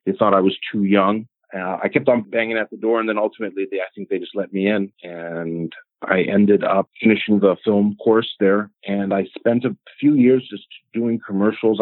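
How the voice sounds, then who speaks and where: A man in his forties from Los Angeles speaks in a fairly low voice, telling his story earnestly as an interview guest. He often stumbles and repeats himself at the start of his sentences. His voice comes through a tinny, phone-like line that sounds dry and close. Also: dry recording. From Los Angeles, United States. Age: 40s. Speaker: film director, guest. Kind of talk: interview answers over a remote line.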